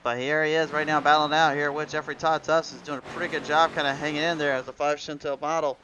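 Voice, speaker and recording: Bright-voiced man calling a sim race. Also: a little high, little pitch movement, fast, slight background noise, poor audio. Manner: rambling play-by-play with restarts and fillers. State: under the weather, voice cutting out. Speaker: race commentator. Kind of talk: live sim racing commentary.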